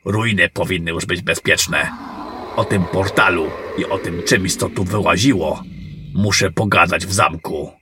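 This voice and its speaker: A man playing a gruff, battle-worn, commanding general in a fantasy audio drama, blunt and suspicious in his questioning. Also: low voice, measured pace, noisy background. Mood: matter-of-fact. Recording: music behind the voice.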